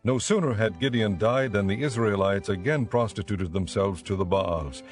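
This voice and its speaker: A man narrating scripture as a scripted audiobook in a measured, solemn manner. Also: fairly low pitch, soft background music.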